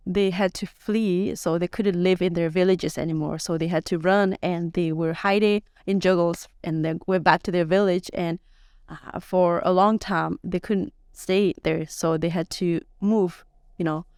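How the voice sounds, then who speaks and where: A soft-spoken young woman who grew up on the Thailand-Burma border and whose first language is S'gaw Karen, telling her life story slowly and hesitantly as a podcast guest, with many pauses and fillers. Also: on the low side, fairly even intonation, clean tone, no room sound, studio quality. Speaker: interview guest. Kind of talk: personal life story interview. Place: podcast studio.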